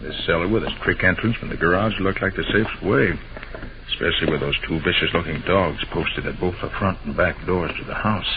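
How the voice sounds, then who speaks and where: Deep-voiced commanding radio detective. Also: male voice. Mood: cautious, low. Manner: commanding, deliberate, clipped instructions. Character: decisive, analytical male master detective. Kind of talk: old-time radio drama acting. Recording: noisy, muffled vintage radio recording.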